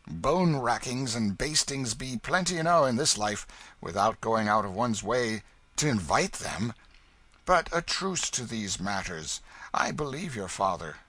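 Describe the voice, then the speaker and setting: A man's voice: mid-range voice, sharp tone, slightly rough, dry recording, voice pushed up, heavily acted. A man voicing a wily, bullying beggar-thief in a storybook, blustering and cajoling in archaic rogue's speech.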